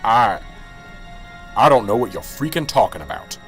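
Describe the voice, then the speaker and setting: A man's voice: medium pitch, expressive, moderate pace, fairly bright tone, faint music behind, lightly acted. A man playing a middle-aged, corrupt small-town police sergeant in an amateur radio drama. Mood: defensive. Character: corrupt small-town police sergeant, chummy until cornered, then indignant.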